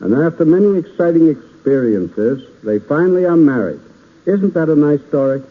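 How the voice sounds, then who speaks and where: A man's voice: fairly high pitch, expressive, moderate pace, phone-quality sound. A man playing the patient, slightly exasperated adult humoring a pestering child in 1930s radio comedy, speaking politely. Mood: indulgent. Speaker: radio show host playing comic straight man. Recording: thin, noisy 1930s radio broadcast.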